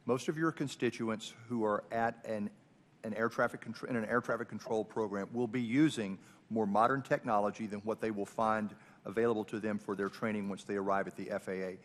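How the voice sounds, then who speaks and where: A man's voice: lowish voice, fairly even intonation, brisk. A man giving formal testimony as a witness at a hearing. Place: large indoor hearing room. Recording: echoey hearing room.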